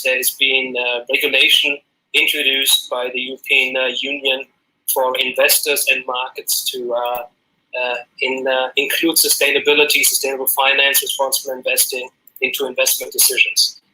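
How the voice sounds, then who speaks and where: A man's voice: mid-range voice, pushed and bright, low-quality recording. A man from Germany with a German accent, answering interview questions as a guest in an earnest way, with many fillers and restarts. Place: Los Angeles, remote call.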